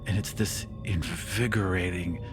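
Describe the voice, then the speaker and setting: A man with a low voice acts as game master. He narrates atmospherically in deliberate, dramatic phrases, with music clearly behind him. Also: steady tone.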